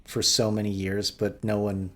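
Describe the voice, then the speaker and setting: A man with a fairly low voice speaks as a podcast co-host. His delivery is casual and conversational, like an informal discussion. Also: completely flat delivery, moderate pace, a bit bright.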